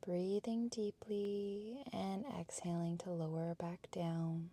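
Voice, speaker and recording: A woman instructor giving soft, breathy, measured cues for a guided workout in a calm meditation cadence. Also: mid-range voice. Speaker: fitness and yoga instructor.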